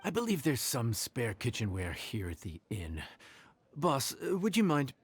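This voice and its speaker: Husky, earnest game chef. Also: male voice, medium pitch, huge pitch swings, exaggerated voice. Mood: polite. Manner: explanatory, measured, considerate. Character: modest, patient inn chef, earnest male mentor. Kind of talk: video game voice acting.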